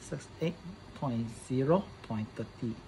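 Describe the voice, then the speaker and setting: A man presenting a casual hands-on setup tutorial in halting English, often repeating his phrases. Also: mid-range voice, mellow, a little hiss or hum, rough recording.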